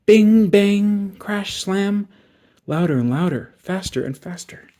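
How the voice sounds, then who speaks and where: Very animated male storybook reader. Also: high voice, slow. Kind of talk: expressive children's read-aloud narration.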